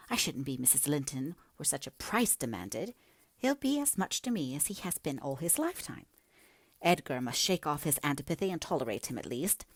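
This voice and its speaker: A woman voicing a passionate, headstrong young gentlewoman in a dramatic, emphatic audiobook reading, breathy at times. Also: low-pitched, no room sound, heavily acted. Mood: indignant, defiant.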